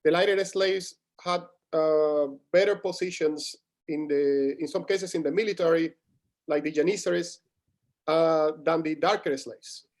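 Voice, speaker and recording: Male scholar, Cuban accent, native Spanish speaker, slightly high voice, halting conversational explanations for an interview. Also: fairly even intonation, a little mellow. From Cuba. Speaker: guest, history academic.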